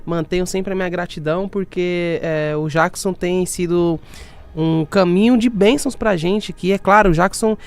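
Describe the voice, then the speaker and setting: A man's voice: sits high, moderate pace, smooth. A man hosting a podcast in casual chat, rambling with many restarts and echoing his guest. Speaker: podcast host.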